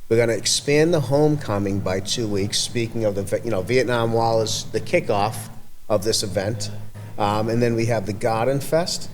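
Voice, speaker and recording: A man's voice: lowish voice, a little hiss or hum. Rambling man with an Everett accent. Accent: Everett, Massachusetts. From Everett, Massachusetts. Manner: rambling, halting with many fillers and restarts. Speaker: community event organizer. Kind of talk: casual podcast conversation.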